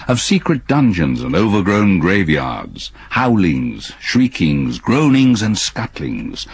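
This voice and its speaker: A haunted older gentleman, narrating in a low, husky and measured voice that rises and falls with feeling. He sounds grave and secretive about a terrible past. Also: full character voice. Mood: brooding. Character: older male stepfather haunted by a terrible memory. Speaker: narrator and stepfather. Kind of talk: radio drama acting.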